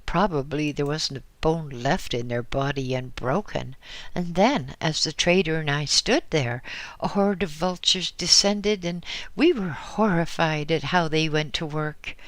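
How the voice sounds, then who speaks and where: A desperate old widow, raised well above the man's own voice, pleads in slow tearful bursts. Her anguish keeps cracking upward. The reading is close and dry. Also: a bit bright, dry recording. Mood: grieving. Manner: tearful, pleading, breaking into high anguished outbursts. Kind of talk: audiobook reading.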